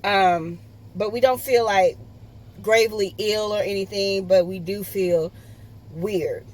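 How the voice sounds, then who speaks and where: A woman's voice: medium pitch, slow, darkish tone, rough recording. A woman talking casually to her vlog audience in a hesitant, anxious manner. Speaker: vlogger. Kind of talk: casual personal vlog talk. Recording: music bed behind the voice.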